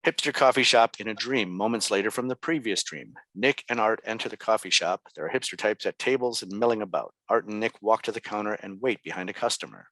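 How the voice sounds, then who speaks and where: A man narrates stage directions from a script. His voice is on the low side. The sound is dry, with little room in it. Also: fast talker, hardly any pauses, a bit bright, no echo, low-quality recording.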